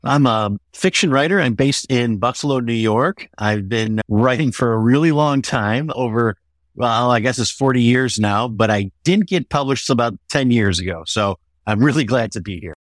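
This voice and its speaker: A middle-aged or older American man answering interview questions as an author, in a measured, explanatory way. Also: mid-range voice, lively intonation, no room sound.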